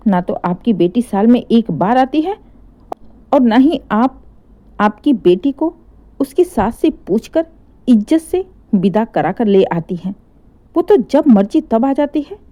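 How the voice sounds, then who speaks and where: A woman's voice: on the high side, mellow. Woman voicing a fiercely protective, indignant older mother. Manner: firm, sarcastic, emphatic with repeated phrases. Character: indignant, protective older mother defending her married daughter.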